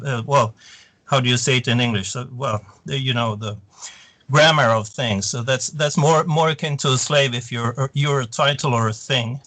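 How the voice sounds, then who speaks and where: A Swedish man on a video call, speaking halting English and searching for words. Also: medium pitch, bright, forceful tone, no echo. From Sweden. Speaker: guest, former teacher.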